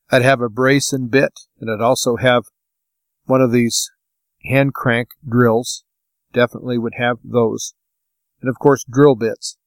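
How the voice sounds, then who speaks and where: A man hosting a talk podcast solo, giving practical, plainspoken advice in informal, deliberate phrases with short pauses. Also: mid-range voice, no echo. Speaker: podcast host.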